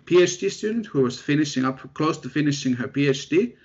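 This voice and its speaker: A young man from Finland, a researcher, chatting in an interview in a relaxed, self-deprecating way with lots of fillers. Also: a little high, quick pace, breathy edge. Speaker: guest researcher. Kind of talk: casual interview chat. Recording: dull lo-fi sound.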